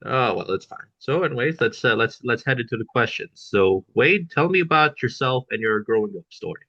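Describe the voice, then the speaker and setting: A man hosting a podcast interview with casual questions, speaking haltingly and repeating words, on a dull lo-fi remote call. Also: medium pitch, expressive, pushed and bright, no echo. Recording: dull lo-fi voice track.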